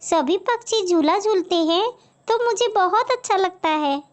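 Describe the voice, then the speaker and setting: A woman's voice: extremely high pitch, expressive, unhurried. A woman voicing a sweet, dutiful young daughter-in-law bird in a cartoon, polite and eager to please. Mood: eager, pleading. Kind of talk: Hindi moral-story cartoon acting.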